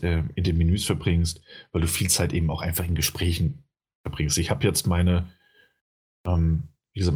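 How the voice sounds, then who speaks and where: Low, husky-voiced man, casual chat, rambling with fillers, game talk. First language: German. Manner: rambling, many fillers and restarts. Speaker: game reviewer.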